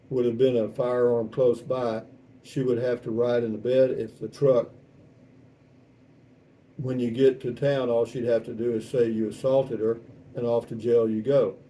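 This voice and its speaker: Texan male, 70s, wry folksy vlog host. Very soft-edged, warm tone, casual delivery, distant mic with slight noise. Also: medium pitch. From South Texas, United States. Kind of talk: casual chat, reading out and answering viewer comments.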